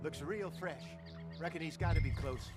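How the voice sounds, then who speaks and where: An actor playing an older outlaw hunter in a Western video game, proud and wry, with a folksy way of talking. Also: male voice, a little high, normal speed, over loud music, lightly acted. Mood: calm, wry. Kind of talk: video game dramatic acting. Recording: clear noise of outdoor game ambience.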